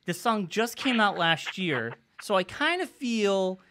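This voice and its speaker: Male podcast co-host, animated pitch, bright forceful tone, excitable rambling chat. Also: unhurried. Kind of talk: casual podcast discussion.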